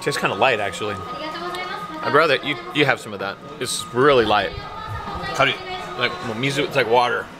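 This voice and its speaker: A man in his fifties hosting a casual food vlog, chatty and playful, reacting loudly as he tastes sushi in a small restaurant. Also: mid-range voice, big pitch swings, moderate pace, long pauses, music in the background, a crowd in the background. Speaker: food vlogger host. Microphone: handheld camera close up. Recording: noisy restaurant room.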